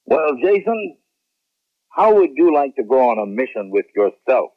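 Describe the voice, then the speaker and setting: Authoritative military space chief, 1970s radio drama. Measured briefing tone, lower-middle voice, phone-like band. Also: male voice, sing-song, no room sound. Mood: official. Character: authoritative male general heading a space agency. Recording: tinny, dry old radio broadcast.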